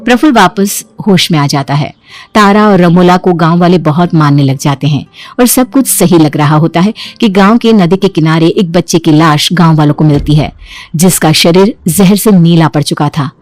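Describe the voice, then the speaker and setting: Low-pitched woman narrating a story. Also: moderate pace, a little mellow, dry recording.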